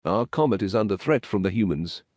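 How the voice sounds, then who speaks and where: A man playing a dignified, mystical alien high priest in a video game, speaking in a stately, ceremonious and deliberate manner. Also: animated, darkish tone, dry recording, studio quality. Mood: grave.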